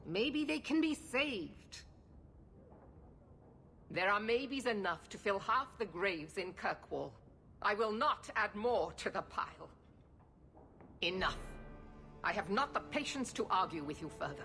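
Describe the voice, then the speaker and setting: A woman's voice: medium pitch, expressive, a little slow, vocal fry, pauses often, fairly bright tone. An actress playing a cold, formal, unyielding middle-aged woman commander in a video game. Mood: stern, impatient.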